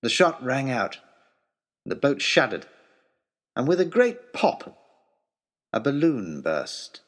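A man playing an earnest, eloquent gentleman adventurer, narrating his letters home as a wonderstruck, literary storyteller in an audio drama. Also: medium pitch, sing-song, takes it slowly. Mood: urgent, dramatic.

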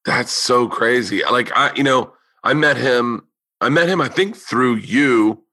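Male comedian-host, casual swearing storyteller, bright tone, dry studio sound. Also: medium pitch, measured pace, no echo. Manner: loose, profane storytelling with quoted voices. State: losing his voice. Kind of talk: casual chat.

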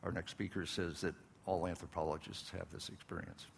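A man's voice: sits low, level tone, a little fast, vocal fry, a little hiss or hum. A man hosting a live talk series and introducing the next speaker, talking loosely with many fillers. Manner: conversational with frequent fillers. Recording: long reverb, faint ambience.

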